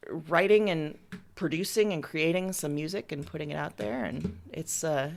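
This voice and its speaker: A woman's voice: sits low, moderate pace, creaky. A woman chatting casually as an interview guest, talking about her creative work in a candid, enthusiastic way. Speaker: writer and musician being interviewed.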